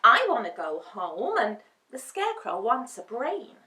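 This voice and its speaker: A woman playing a shy but plucky young girl in a storybook, earnest and expressive. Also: on the high side, a little slow, heavily acted. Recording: roomy, distant microphone.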